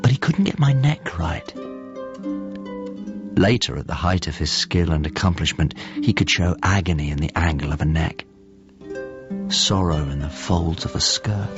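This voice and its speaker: An actor playing a thoughtful painter's model, narrating a radio drama in measured, descriptive phrases. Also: male voice, low-pitched, lively intonation. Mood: wistful recollection. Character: thoughtful male painter's model grieving a lost friend. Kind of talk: radio drama, reflective first-person narration. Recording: muffled audio with music underneath. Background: music.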